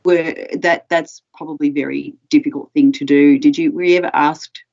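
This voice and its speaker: A woman in her forties hosting a live interview from a room at home, measured as she reads out audience questions. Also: deep voice, fairly even intonation, gravelly, darkish tone. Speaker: library interviewer. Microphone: video call. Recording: slightly dull video call audio.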